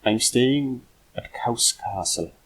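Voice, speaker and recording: A man's voice: medium pitch, lively intonation, slow, slight background noise, rough recording. A man reading the part of a proud, cheerful young Welsh boy with formal storybook phrasing. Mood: guarded, wry.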